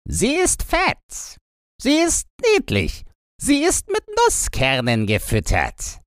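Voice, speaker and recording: A man's voice: high voice, wildly swooping pitch, takes it slowly, fairly bright tone, clean tone, no echo, clean studio sound, voice pushed up, full character voice. A man voicing a cruel old robber woman with a gloating manner in a fairy tale audiobook. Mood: gloating, hungry. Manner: gloating, savoring. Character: gleefully cruel, bearded old robber hag.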